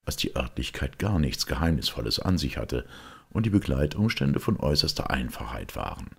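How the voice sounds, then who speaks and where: A man reading a literary detective story aloud as an audiobook narrator, in a measured, expressive storytelling delivery with a gravelly voice. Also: very deep voice. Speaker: audiobook reader.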